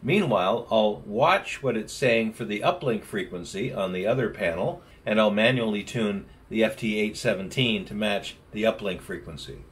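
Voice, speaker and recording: A man's voice: on the low side, moderate pace, hardly any pauses. A man, giving casual explanatory commentary and radio call-outs in a home office. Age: 60s. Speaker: amateur radio operator, vlogger. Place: small home office, indoors. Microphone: camera a short distance away. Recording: noisy room, mic some distance away.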